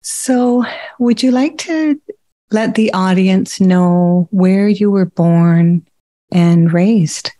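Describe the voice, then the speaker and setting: A woman hosts a podcast interview, reading her guest's introduction in a measured, formal way. Her tone is mellow and the sound is dry, with no room around it. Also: medium pitch, no room sound. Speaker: podcast host.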